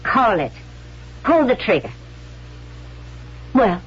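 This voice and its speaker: Cool-headed, charming young schemer, husky and poised; muffled old radio drama recording. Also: female voice, fairly high pitch, big pitch swings, slow, heavily acted. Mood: defiant, cool. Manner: poised, probing, coolly teasing. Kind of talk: 1970s radio drama acting. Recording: dull vintage radio recording.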